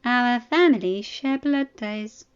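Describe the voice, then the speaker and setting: A woman's voice: fairly high pitch, slow, clear, resonant tone, lo-fi sound, voice pushed up. A woman voicing an amused, provocative young princess with a sensual, drawling manner, as audiobook dialogue. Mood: smug.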